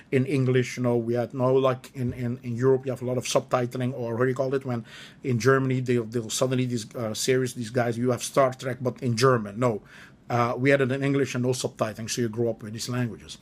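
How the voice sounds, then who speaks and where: A man in his forties from Aruba with an Aruban accent and Dutch as his first language, answering interview questions in a relaxed, thoughtful way, with many fillers and self-corrections. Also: mid-range voice.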